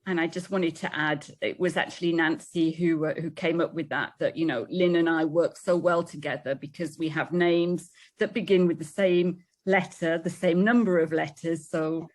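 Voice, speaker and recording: A woman's voice: low-pitched, steady tone, normal speed. A British woman in her fifties, an English teacher, chatting over a video call about her work with easy fillers. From Britain. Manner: chatty, with fillers and light laughs. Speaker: English teacher at an engineering school.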